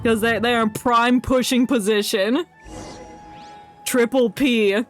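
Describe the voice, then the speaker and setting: A woman's voice: fairly high pitch, steady tone, slow, faint music behind. A woman livestreamer giving casual, joking game commentary in a playful, sarcastic way.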